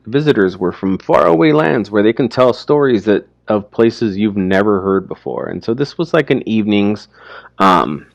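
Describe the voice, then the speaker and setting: A man teaching through informal, explanatory commentary on a story, speaking haltingly as he thinks aloud. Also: lowish voice, gravelly, no echo, dull audio.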